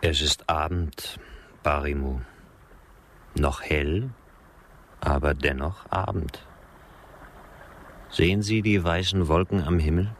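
A man's voice: rumbling low, fairly even intonation, takes it slowly, long pauses, a bit bright, a little hiss or hum, heavily acted. A male actor playing a melancholy, brooding frontier henchman with a conscience in a German radio drama. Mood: gloomy, poetic.

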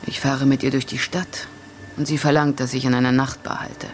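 An actress playing a cool, enigmatic woman in a radio drama, speaking in a measured, quietly teasing way. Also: extremely low pitch, little pitch movement, slight background noise. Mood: guarded. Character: cool, enigmatic woman in a noir bar, controlled. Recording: music behind the dialogue.

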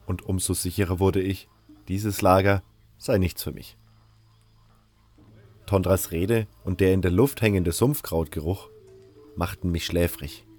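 A man's voice: low-pitched, a little mellow, natural voice. A man playing a sceptical prisoner who narrates his own story in a scripted fantasy audio drama.

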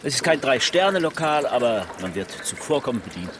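Probing male detective with lively, swooping pitch, an actor. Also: brisk, background noise, lightly acted. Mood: thoughtful. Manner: reasoning, questioning, insistent. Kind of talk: German radio crime drama acting.

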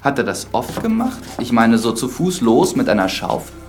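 A man's voice: mid-range voice, sing-song, normal speed, close to an everyday voice. Earnest young priest, played by a man, music behind. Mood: brisk, questioning. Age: young adult. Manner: formal, precise, clerical. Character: young priest, earnest, dutiful, formal, easily piqued. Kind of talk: radio drama acting.

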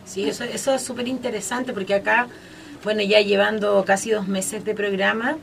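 A woman's voice: mid-range voice, steady tone, normal speed, creaky, slight background noise, rough recording. A young woman with a Chilean accent hosting a radio talk show, chatting casually with guests, full of fillers and restarts. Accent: Chilean Spanish. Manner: hesitant, many fillers and restarts. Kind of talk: casual interview chat.

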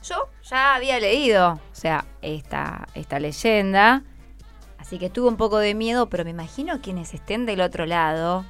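A woman's voice: medium pitch, expressive, moderate pace, fairly bright tone, clear, resonant tone, soft background music. A woman with an Argentine accent hosts radio, chatting casually and effusively as she encourages young guests. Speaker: radio host. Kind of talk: casual, encouraging interview with schoolchildren. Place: radio studio.

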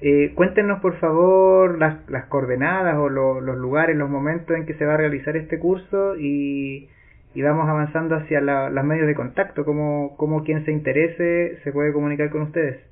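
A man's voice: a little high, steady tone, moderate pace. A Chilean man hosting a radio interview, asking long, polite questions padded with fillers. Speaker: radio host. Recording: tinny phone-like radio sound.

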